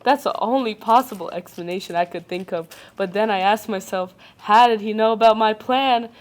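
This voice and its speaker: A young adult woman, not a native English speaker, reading a ghost story aloud on a casual podcast, stumbling and stopping to joke. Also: medium pitch. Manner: reads haltingly, breaks off to joke and laugh.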